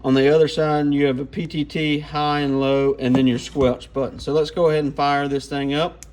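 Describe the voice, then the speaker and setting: A man's voice: a little high, level tone, moderate pace, a little mellow. A man giving an informal, off-the-cuff hands-on product walkthrough. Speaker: radio gear reviewer.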